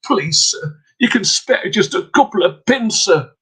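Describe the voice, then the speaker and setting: A man's voice: high voice, expressive, measured pace, bright, forceful tone, husky, voice pushed up, full character voice. A man putting on a begging teenage girl. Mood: pleading. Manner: begging, whining. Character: pleading poor teenage girl.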